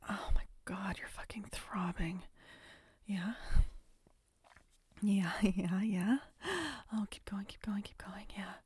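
A woman whispering softly and intimately close to the mic, in a slow, hushed audio roleplay. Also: mid-range voice, steady tone, husky, no echo.

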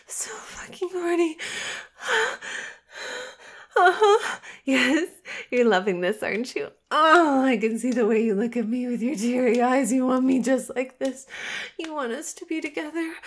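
A woman's voice: sits high, exaggerated voice. A young woman playing an obsessive, possessive lover in an intimate audio roleplay, giggly and coaxing, often dropping to a whisper.

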